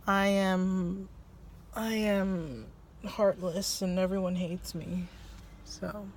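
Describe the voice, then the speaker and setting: A woman's voice: mid-range voice, steady tone, drawn-out words, darkish tone, a little hiss or hum. A woman co-hosting a casual film review, with a blunt, rambling, sardonic way of talking. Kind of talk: casual unscripted review chat.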